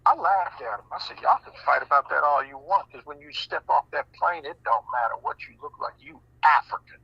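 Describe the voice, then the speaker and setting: Animated, folksy male guest with a bright, gravelly voice, heard over a lo-fi line. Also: on the high side, fairly quick, no room sound. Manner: animated, repeats words, folksy, laughs easily. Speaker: guest speaker. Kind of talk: animated radio talk.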